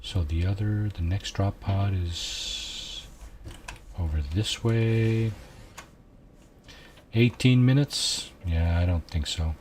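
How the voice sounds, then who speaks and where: A soft-spoken man with a very deep voice narrates his gameplay slowly, often pausing on fillers as he thinks aloud. He speaks quietly into a desk mic, with faint game noise and typing behind him. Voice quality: low, soft voice. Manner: quiet and unhurried, musing aloud with many fillers. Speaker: gameplay streamer. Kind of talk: casual let's-play commentary.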